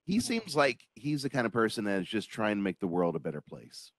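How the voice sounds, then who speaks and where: A middle-aged man hosts a relaxed video-call interview in a lowish voice. He asks questions in a friendly, joking way, and the sound is dry and close. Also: a little fast, dry recording. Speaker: host.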